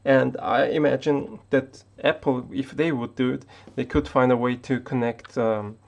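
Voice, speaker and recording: A man's voice: medium pitch, little pitch movement, measured pace, gravelly, muffled recording. A man from Austria, not an English speaker, talking unscripted to the camera as a tech YouTuber, hesitant with many restarts.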